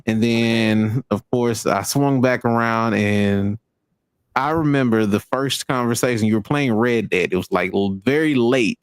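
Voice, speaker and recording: A man with a Southern American twang talks in a folksy, meandering way, as a guest in a casual interview. His pitch sits in the middle for a man, and the sound is dry, with very little room. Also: a little slow, smooth, no room sound. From Arkansas, United States. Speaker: guest, video game streamer.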